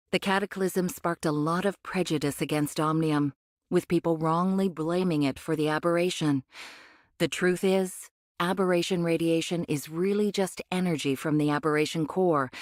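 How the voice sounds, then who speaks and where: An actress playing a condescending cyborg angel of a rebel faction in an anime game, speaking in a measured, cryptic way. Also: female voice, low voice, no echo, clean studio sound, lightly acted. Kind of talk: anime game voice acting, expository dialogue.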